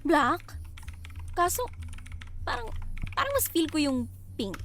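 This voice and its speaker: A woman's voice: sits high, sing-song, slow pace, a bit bright, heavily acted. A woman with a Filipino accent acting a young woman talking to her best friend in an amateur drama. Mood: chatty, undecided.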